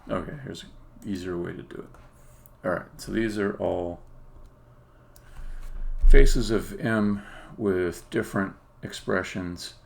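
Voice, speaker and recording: A man, a game developer, casually explaining his work on a live stream in a halting, think-aloud way. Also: sits low, fairly even intonation. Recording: clear background noise.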